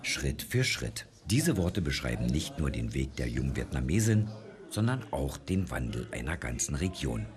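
Very deep-voiced male report narrator. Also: very animated, moderate pace, crowd noise. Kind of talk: scripted news report narration.

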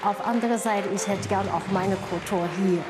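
Woman, halting. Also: mid-range voice, fairly even intonation, over loud music, a loud crowd. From Taiwan. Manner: halting, searching for words, many fillers. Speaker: dance artist. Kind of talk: interview statement.

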